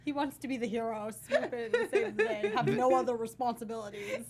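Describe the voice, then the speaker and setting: Lively, swooping woman on a panel. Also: a little high, a little fast, crowd noise. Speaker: panel co-host. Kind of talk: casual chat.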